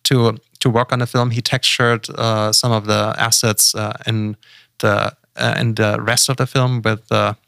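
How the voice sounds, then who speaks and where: A man talking casually on a podcast, halting often with fillers and restarts. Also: lowish voice, flat delivery, vocal fry, a bit bright, smooth, no echo, studio quality. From Germany. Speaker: podcast guest.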